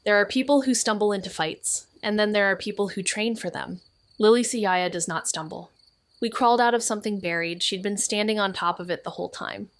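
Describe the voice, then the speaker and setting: An actress playing a dry-witted amateur sleuth who narrates a neo-noir podcast in a deadpan, sardonic way. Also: female voice, mid-range voice, a little fast, natural voice. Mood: brooding narration.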